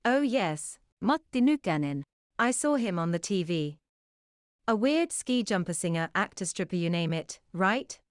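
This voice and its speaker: A woman's voice: on the low side, expressive, a little slow, clean tone, no room sound, studio quality. A woman in a casual conversation, asking questions and adding remarks as the conversation partner. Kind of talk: casual chat.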